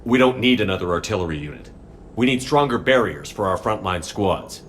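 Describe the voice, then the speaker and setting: A man playing a steady military commander in a game, terse and authoritative. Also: on the low side, a little fast, a little hiss or hum, natural voice. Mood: firm. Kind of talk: cinematic game dialogue.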